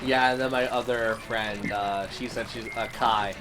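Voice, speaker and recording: A man's voice: mid-range voice, fairly even intonation, normal speed. A young man from Canada streaming a game, talking casually in a chatty, upbeat way with lots of restarts. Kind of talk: casual chat over gameplay. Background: loud game music.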